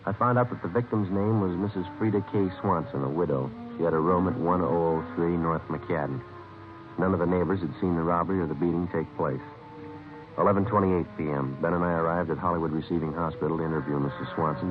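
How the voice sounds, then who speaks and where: An actor playing a terse, businesslike American police detective in a 1950s radio crime drama, clipped and deadpan. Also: male voice, deep voice, close to an everyday voice. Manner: clipped, deadpan, matter-of-fact. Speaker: police detective narrator and lead. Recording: muffled vintage radio recording with music.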